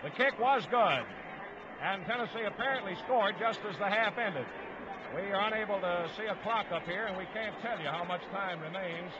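A male sports announcer with a high voice gives clipped football commentary in 1950s radio style. The recording is dull and band-limited, with clear noise over it. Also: moderate pace. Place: stadium broadcast booth.

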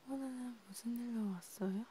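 A woman speaking in a soft, breathy, often whispered murmur, gently and hesitantly, as if chatting one-to-one in an ASMR roleplay. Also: a little high, moderate pace, slight background noise. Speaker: ASMR host.

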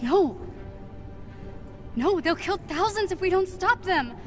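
A woman's voice: very high voice, expressive, slow pace, faint music behind, exaggerated voice. A woman playing an eager, emotional teenage girl superhero in a video game, speaking earnestly. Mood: alarmed. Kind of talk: cinematic video game acting.

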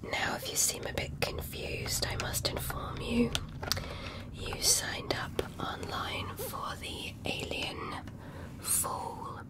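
Slow whispering ASMR woman. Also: rough recording. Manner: slow, gentle, reassuring examiner talking up close. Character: gentle female alien medical examiner. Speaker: ASMR roleplay performer. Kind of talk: soft-spoken whispered ASMR medical roleplay.

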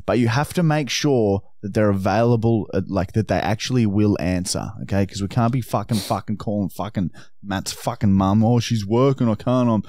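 A young Australian man with a lowish voice hosts a comedy podcast. His talk is casual and crude, recorded dry on a studio microphone. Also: normal speed, hardly any pauses, darkish tone, no room sound. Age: young adult. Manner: crude, swearing freely. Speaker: podcast host. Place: small podcast studio indoors. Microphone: boom-arm podcast microphone with headphones.

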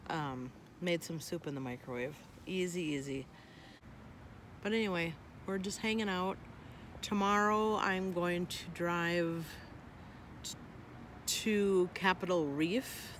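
Older woman vlogger, casual and slow, lots of ums, phone at arm's length, faint background noise. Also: lowish voice. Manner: unhurried, chatty, many ums. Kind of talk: casual chat. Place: in a minivan, then outdoors at a campsite. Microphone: phone held at arm's length.

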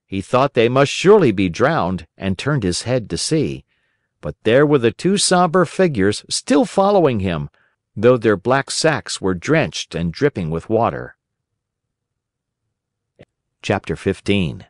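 A man narrating a children's story as an audiobook reader, in a gentle, expressive way. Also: on the low side, a little slow, no room sound, clean studio sound.

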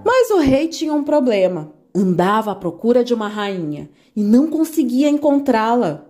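A woman narrating a children's fairy tale in an expressive, animated way. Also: a little high, normal speed, faint music behind. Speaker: storyteller.

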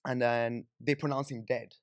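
Young kenyan guy on a podcast telling his story, chatty n informal, average pitch, really dry studio sound. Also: level tone, moderate pace, no echo. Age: young adult. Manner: informal, frequent "like" and "you know". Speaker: podcast guest. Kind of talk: conversational personal storytelling. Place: small indoor podcast studio, on a sofa. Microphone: close studio microphone on boom arm.

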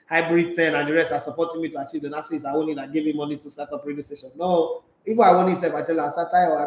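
A middle-aged Nigerian man hosting a sports radio talk show, animated and emphatic, mixing pidgin into his English. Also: a little high. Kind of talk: live sports talk show, reading viewer comments. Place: small radio studio. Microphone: studio microphone with headphones. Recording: echoey studio room.

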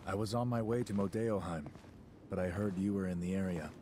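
A man's voice: low-pitched, brisk, background noise, close to an everyday voice. A man voicing a cool, commanding elite warrior officer in a video game, with composed, terse and detached delivery over game music. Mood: cool, stern.

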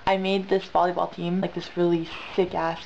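A woman's voice: on the low side, flat delivery, normal speed, gravelly, a little mellow. A young woman chats casually to camera in a rambling, slangy way, her nose congested from being sick. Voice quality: stuffed-up, congested. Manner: rambling, emphatic repetition, slangy. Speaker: vlogger. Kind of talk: casual, chatty vlog to camera. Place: small bedroom, indoors on a bed. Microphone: built-in camera mic at arm's length. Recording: dull lo-fi camera sound, light noise.

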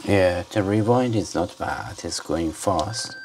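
A man's voice: deep voice, takes it slowly, darkish tone, slight background noise. A man giving a casual hands-on product demonstration, rambling and muttering to himself as he goes. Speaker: gadget reviewer. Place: indoors at a desk.

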